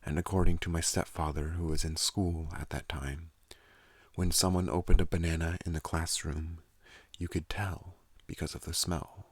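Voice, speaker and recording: Very deep gravelly man, soft and slow. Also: no echo. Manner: slow, calm, partly whispered. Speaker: ASMR channel host. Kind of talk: soft-spoken ASMR talk. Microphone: close studio microphone.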